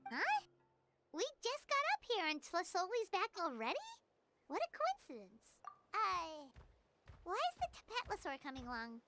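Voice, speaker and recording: Tiny squeaky game fairy girl, super high, bouncy and chirpy, music behind. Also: wildly swooping pitch, normal speed, heavily acted. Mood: surprised, puzzled. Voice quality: squeaky, childlike. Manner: chirpy, refers to self in third person. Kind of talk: video game character acting.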